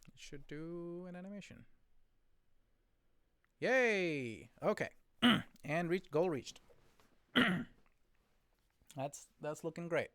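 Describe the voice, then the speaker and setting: A man thinking aloud as he codes on a live stream, rambling and correcting himself, his voice a bit hoarse from talking for a long time. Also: fairly high pitch, expressive, drawn-out words, pauses often, fairly bright tone, no room sound. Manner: rambling, self-correcting, chatty with viewers.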